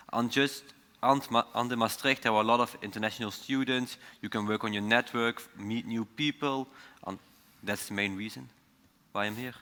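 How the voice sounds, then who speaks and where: A man's voice: mid-range voice, sharp tone. A young man from Belgium, a student, answering eagerly with some stumbles. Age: young adult. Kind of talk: panel answers. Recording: long hall reverb.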